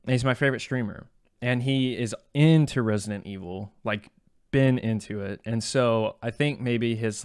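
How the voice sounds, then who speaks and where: A man's voice: mid-range voice, clear, resonant tone, dry recording, studio quality. A man co-hosting a gaming podcast in casual, conversational talk. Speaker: podcast co-host.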